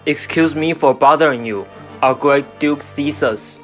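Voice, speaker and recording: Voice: medium pitch, measured pace, a bit bright, close to an everyday voice. Stern, stubborn father played by an amateur, music underneath. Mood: indignant complaining. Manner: commanding, indignant. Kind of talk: amateur radio drama acting.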